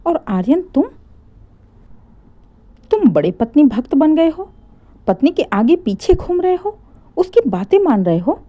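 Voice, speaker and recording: A woman's voice: sits high, big pitch swings, measured pace, long pauses, muffled recording, voice pushed up. A woman voicing a proud older mother-in-law in a family story, bossy, defensive and scolding. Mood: flustered scolding.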